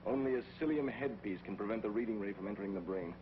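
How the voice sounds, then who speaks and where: An actor playing a calm, knowing scientist in a 1950s TV drama, explaining things in a matter-of-fact way. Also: male voice, fairly low pitch, quick pace, slight background noise, natural voice. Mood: grim. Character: knowing male scientist doctor, calm and grim. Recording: dull 1950s TV soundtrack with music.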